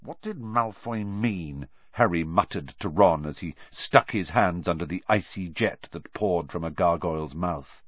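A man's voice: low-pitched, normal speed, few pauses, husky, no echo, lo-fi sound. A man voicing a troubled teenage boy who mutters his puzzled questions.

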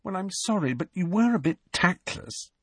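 A patient, brainy teenage girl, as a male reader plays her, lifted in pitch with lively swings. She explains everything carefully, a little exasperated, in a storybook voice with a breathy edge. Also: moderate pace, dry recording, clean studio sound. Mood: sad, gentle.

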